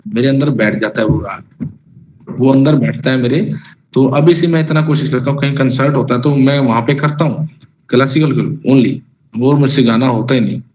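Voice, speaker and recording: Casual male music teacher on a lo-fi call. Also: mid-range voice. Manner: casual, rambling, talks directly to students and sings note names. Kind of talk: online singing class lecture. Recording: lo-fi online class audio with faint noise.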